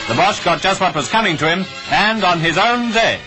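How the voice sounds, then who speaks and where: High-pitched British 1940s newsreel announcer, music behind. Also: male voice, expressive, moderate pace, poor audio. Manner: clipped, declamatory delivery. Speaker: newsreel narrator. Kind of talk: 1940s wartime newsreel commentary.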